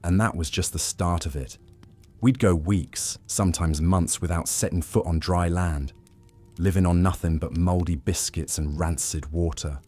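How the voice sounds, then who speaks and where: A man voicing a grizzled, world-weary old pirate with a rough, raspy voice, telling grim tales in sailor dialect for a story. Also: deep voice, normal speed, dark tone, faint music behind. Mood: bitter, haunted.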